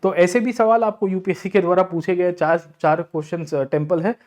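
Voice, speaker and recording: An Indian man with a fairly high, soft and mellow voice works through exam questions like a coaching teacher. He explains point by point, talks directly to his students and often checks in with short tags. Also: steady tone, fairly quick. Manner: explanatory, addresses listeners as sir and brother, checks in with tag words. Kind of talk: lecture-style exam question analysis, Hindi mixed with English.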